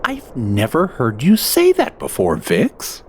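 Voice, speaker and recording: A man playing a hesitant, teasing adventurer in a fantasy audio drama, with a halting colloquial manner. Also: mid-range voice, huge pitch swings, slight background noise. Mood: amused, teasing.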